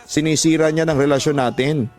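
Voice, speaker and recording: A man's voice: on the high side, steady tone, fairly quick, a little mellow, faint music behind, close to an everyday voice. Man acting frustrated, reproachful husband. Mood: angry scolding. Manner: scolding, pleading, emphatic. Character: frustrated husband pleading with gambling-addicted wife. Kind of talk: Tagalog radio drama acting.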